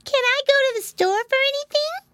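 Tiny, squeaky-high baby girl piglet. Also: brisk, a bit bright, no echo. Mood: pleading. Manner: childlike, questioning.

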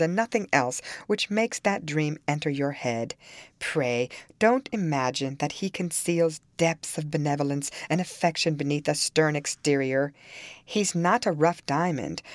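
Sharp-tongued young married lady voiced by a woman reader, emphatic and scornful, lively intonation, dry close sound. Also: low voice, no echo. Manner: emphatic, scolding. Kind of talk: dramatised audiobook reading of a classic novel.

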